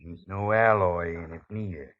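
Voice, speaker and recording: An actor playing a gruff, hard-bitten prospector in a radio western, laconic and blunt, with an American Western accent. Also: male voice, low-pitched, steady tone, moderate pace, natural voice. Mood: skeptical, appraising. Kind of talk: old-time radio western acting. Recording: dull vintage radio recording.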